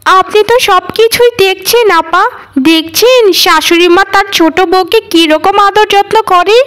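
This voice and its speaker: A woman's voice: very high voice, normal speed, fairly bright tone. A woman voicing a spoiled, scheming young cartoon daughter-in-law with a whiny, haughty, defiant manner. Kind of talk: Bengali cartoon voice acting.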